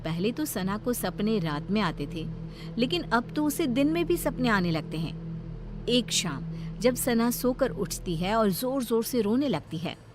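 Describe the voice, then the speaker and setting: Expressive woman narrating a story. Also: on the high side, unhurried, noisy background. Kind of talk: scripted cartoon horror story narration.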